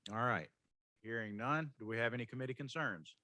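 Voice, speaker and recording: Bright-toned man chairing a meeting. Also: medium pitch, no room sound. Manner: measured, procedural. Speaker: committee chairman. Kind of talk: formal meeting procedure.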